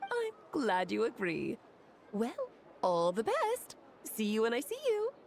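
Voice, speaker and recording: A woman's voice: on the high side, huge pitch swings, slow, gravelly, darkish tone, slight background noise, exaggerated voice. An actress playing a doting, breezy and playfully proud mother in a video game.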